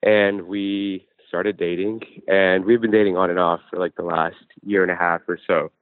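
Male caller, very low register, halting and foul-mouthed casual talk, narrow telephone sound. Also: little pitch movement, smooth, no echo. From New Jersey. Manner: hesitant, profane. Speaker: phone-in caller. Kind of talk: casual chat, recounting own troubles. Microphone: phone call. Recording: muffled band-limited phone line.

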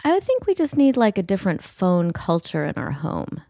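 A woman's voice: fairly low pitch, wildly swooping pitch, moderate pace, warm tone, dry recording, clean studio sound. An American woman co-hosting a podcast in casual conversation, candid and rambling, hedging as she goes. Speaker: podcast co-host.

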